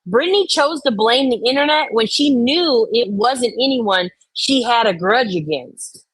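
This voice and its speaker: A woman's voice: on the high side, lively intonation, a little slow, a bit bright, husky, no room sound, poor audio. A woman co-host giving casual commentary, reading comments out dramatically and reacting bluntly, with exasperation and free swearing.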